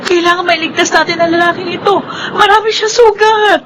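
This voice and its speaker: A woman's voice: very high-pitched, steady tone, brisk, noisy background. An actress playing an anxious, emotional woman urging a rescue in a Filipino radio drama. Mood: urgent.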